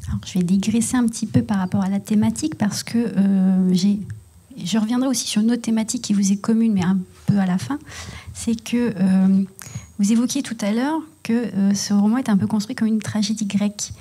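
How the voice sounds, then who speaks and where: A woman moderating an author panel at a library, asking measured, thoughtful questions with some fillers. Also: mid-range voice, steady tone, warm tone, slightly rough. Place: library event room.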